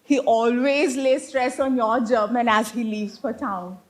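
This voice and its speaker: A woman's voice: a little high, fairly even intonation, measured pace, lightly acted. A woman playing a strict, prim Victorian governess in a stage comedy, pompous and given to moralizing. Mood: stern, instructing. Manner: stern, pompous, declamatory. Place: live stage play.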